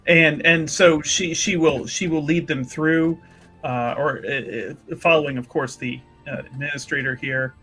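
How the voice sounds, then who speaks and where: A man's voice: a little high, steady tone, a little slow, bright, forceful tone, slightly rough, faint music behind, low-quality recording. A man improvising narration of his character's actions in a tabletop roleplaying game, hesitant and wordy with flowery phrasing.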